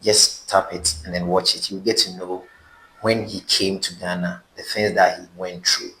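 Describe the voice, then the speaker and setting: Low-voiced male podcast host. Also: level tone, normal speed, pushed and bright, slight background noise, rough recording. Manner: short prompting replies. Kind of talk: interviewer.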